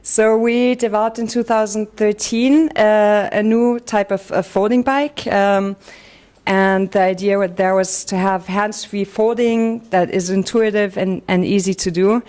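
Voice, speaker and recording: A woman pitches her company as its co-founder. She talks fluently and in a businesslike way, restarting a phrase now and then, in a voice of middle pitch. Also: fairly even intonation, unhurried, darkish tone. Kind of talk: pitch presentation.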